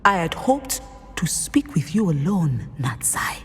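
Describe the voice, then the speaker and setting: A woman playing a protective, disapproving middle-aged mother in a game, firm and measured. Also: on the low side, big pitch swings, big-room sound, soft background music. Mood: worried, reproving. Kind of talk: game cutscene dialogue.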